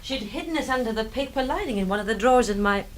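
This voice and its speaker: An actress playing a flippant, hard-hearted young housekeeper in a radio mystery, talking bluntly and casually. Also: female voice, on the high side, lively intonation, fast talker, natural voice. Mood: blunt, unconcerned. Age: young adult. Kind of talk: radio mystery drama acting.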